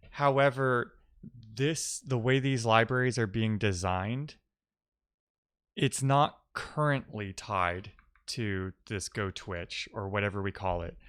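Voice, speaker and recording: A man's voice: mid-range voice, a bit bright, smooth. A man giving casual, think-aloud commentary as a live-coding streamer, often muttering to himself and trailing off.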